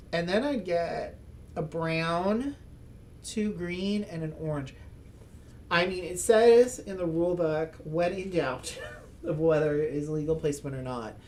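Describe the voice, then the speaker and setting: A man talking his way through a solo board game at an unhurried pace, pausing to think and laughing softly at his own jokes. His voice sits fairly light for a man. A faint hiss of noise lies under it. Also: on the high side. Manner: thinks aloud, chuckling, self-mocking asides; speaks as a loud, projecting voice. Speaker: board game channel host. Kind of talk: casual solo playthrough commentary.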